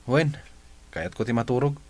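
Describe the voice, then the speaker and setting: A man's voice: mid-range voice, animated, moderate pace, natural voice. A man playing a lazy, sleepy young boyfriend with a drowsy drawl in a radio comedy drama. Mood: sleepy, grumpy.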